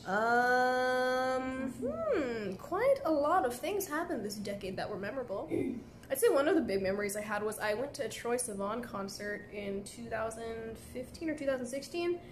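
A woman's voice: a little high, very animated, moderate pace, a little hiss or hum. A young woman casually chatting on a live stream from a café, talking into a phone held at arm's length and answering viewer comments in a laid-back way full of "like". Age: young adult. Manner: chatty, laid-back, heavy use of "like" fillers. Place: indoor café at night.

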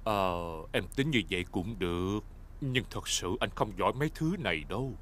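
A man's voice: on the low side, animated, moderate pace, a little hiss or hum. A man voicing a good-natured, hesitant father pig who hums and haws in a children's cartoon dub. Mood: unsure, hesitant. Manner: hesitant, humming and hawing. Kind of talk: Vietnamese-dubbed preschool cartoon acting. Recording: loud cartoon music behind.

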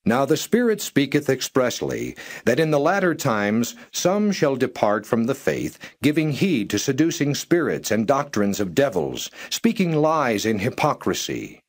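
A man narrating scripture in a measured, solemn and formal reading style. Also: medium pitch, wildly swooping pitch. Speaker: Bible narrator.

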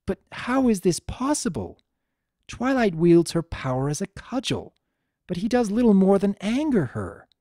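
Narrator doing royal night mare princess, raised pitch, formal old-timey n intense, storybook. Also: very animated, a little slow, mellow, no room sound, studio quality. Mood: incredulous. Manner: formal, archaic, questioning. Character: regal, intense, incredulous female pony princess.

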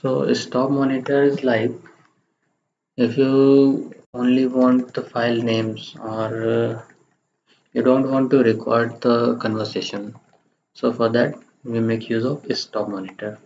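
Guy doing a screencast tutorial, slow and plain, bit of creak in his voice, muffled lo-fi mic. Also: medium pitch, little pitch movement, a little mellow. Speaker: tutorial presenter. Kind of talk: software screencast tutorial, step-by-step narration. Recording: muffled lo-fi microphone, faint background noise.

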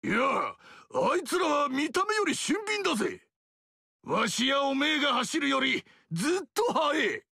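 A man voicing a boisterous, proud barbarian king with a gravelly, blustering, shouting delivery in video game dialogue. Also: extremely high pitch, big pitch swings, measured pace, no room sound, studio quality, full character voice. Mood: boastful. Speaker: warlord character.

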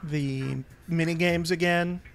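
A man's voice: a little high, fairly even intonation, slow pace, a crowd in the background. A man hosting a let's play, giving casual game commentary in a chatty way.

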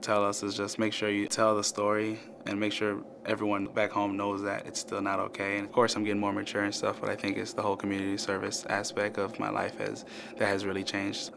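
A young man, a student volunteer, giving an interview testimonial. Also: on the low side. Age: young adult. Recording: clear background noise.

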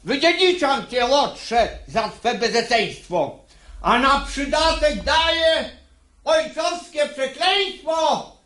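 A man's voice: very high-pitched, lively intonation, slow, bright, forceful tone, heavily acted. An actor playing a hot-tempered, gullible, domineering father in a verse radio comedy, blustering and declaiming theatrically. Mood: furious.

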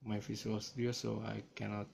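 A man from Indonesia with an Indonesian accent, talking through a software tutorial in a slow, hesitant way with many fillers, recorded on a smartphone. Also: lowish voice, flat delivery, gravelly, a little hiss or hum. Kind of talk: screen-recorded coding tutorial. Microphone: smartphone camera filming screen.

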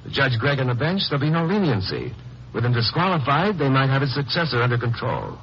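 Actor as terse radio crime fighter, noisy old recording. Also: male voice, mid-range voice, lively intonation, quick pace, highs cut off, natural voice. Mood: earnest, resolute. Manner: terse, dry, hard-boiled. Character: Phil, hard-boiled male lawyer and crime fighter. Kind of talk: 1950s radio crime drama acting.

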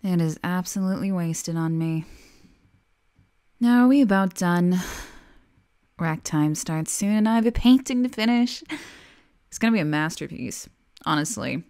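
Woman acting a low-voiced, mocking madwoman. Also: lively intonation, moderate pace, long pauses, a little mellow. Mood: breezy, bossy. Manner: sarcastic, taunting, theatrical. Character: mocking, remorseless criminally insane female asylum patient. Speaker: voice actor in an audio roleplay. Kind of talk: ASMR-style dramatic monologue roleplay.

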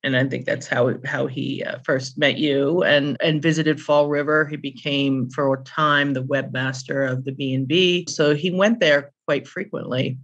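A woman's voice: very deep voice, steady tone, unhurried, few pauses, fairly bright tone, breathy edge, highs cut off. A middle-aged or older woman speaking as an interview guest, reminiscing in an anecdotal, conversational way. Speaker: interview guest, author and publisher.

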